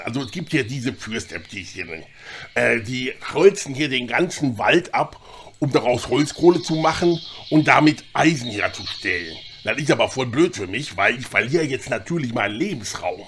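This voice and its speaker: A man's voice: medium pitch, animated, normal speed, a bit bright. A man voicing a homely, plain-spoken, hesitant male deer in a comedy audio drama. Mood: laid-back advice. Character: dim-witted then wise male deer, slow and homely.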